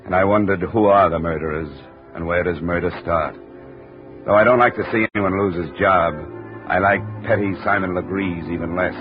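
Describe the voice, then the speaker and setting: A hard-boiled insurance investigator, voiced by a man, narrates in terse, clipped sentences with a deep, low voice. The 1950s radio recording is dull and lo-fi, with orchestral music clearly underneath. It has the feel of a classic detective radio show. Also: steady tone, normal speed, natural voice. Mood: grim.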